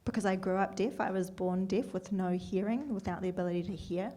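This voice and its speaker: A woman's voice: medium pitch, brisk, warm tone. Plain-spoken New woman interpreter. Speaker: sign language interpreter voicing a Deaf panellist. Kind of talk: live first-person interpreting on a panel.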